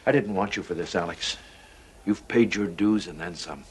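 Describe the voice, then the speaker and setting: An actor playing a grave, protective general who speaks with earnest command in a science fiction television drama. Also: male voice, deep voice, normal speed, slight background noise, close to an everyday voice.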